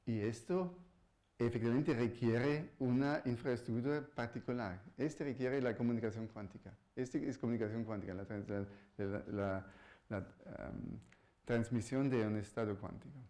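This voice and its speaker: A man, not a native speaker of the language, giving a public physics lecture as a professor, halting and searching for words with frequent restarts. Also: medium pitch, warm tone. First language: not Spanish. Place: university lecture hall.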